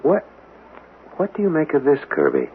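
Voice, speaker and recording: Earnest, lively-pitched radio-drama man. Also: normal speed, husky, full character voice. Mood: absorbed. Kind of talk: 1970s radio drama acting. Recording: dull lo-fi radio, faint noise.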